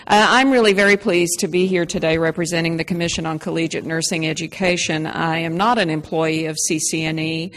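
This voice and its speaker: A woman's voice: sits low, fairly even intonation, a little fast, few pauses. A woman with a Texan accent giving a folksy, upbeat conference talk with frequent fillers. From Texas. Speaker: conference presenter. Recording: reverberant hall with faint noise.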